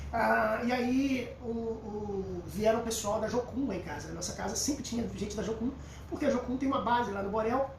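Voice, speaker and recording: A middle-aged Brazilian man with a Carioca accent teaching the Bible informally, emphatic and repetitive like a storyteller. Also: very high-pitched. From Rio de Janeiro, Brazil. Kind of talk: informal Bible lesson with personal anecdotes. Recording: roomy echo, distant mic, faint noise.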